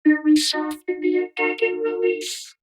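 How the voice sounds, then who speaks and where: A buzzing robotic vocoder voice. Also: female voice, high-pitched, faint music behind, voice pushed up. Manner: slow demonstration phrases. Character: synthetic robotic vocoder voice, woman, flat and mechanical. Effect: vocoder robot filter.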